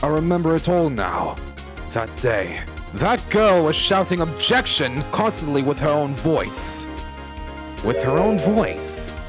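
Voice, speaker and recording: A haughty male prosecutor from a courtroom game played by a solo fan dubber. He is pompous and indignant, leaping around in pitch with theatrical outrage, with music behind. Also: big pitch swings, takes it slowly. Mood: smug accusing. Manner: pompous, stammers when outraged. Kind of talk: fan dub courtroom comedy, dramatic video game acting.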